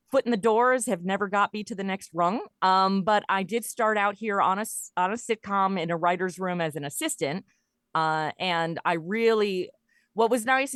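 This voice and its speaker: Woman in middle age, informal jokey podcast guest, medium pitch, really dry sound. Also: fairly bright tone, no echo. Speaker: podcast guest, comedy writer and audio performer. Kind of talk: casual interview chat.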